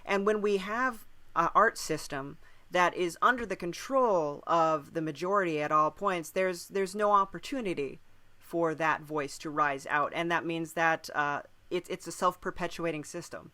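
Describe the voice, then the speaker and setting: A woman's voice: fairly low pitch, normal speed, pushed and bright. A woman talking thoughtfully and at length in a conversational podcast discussion. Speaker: podcast speaker.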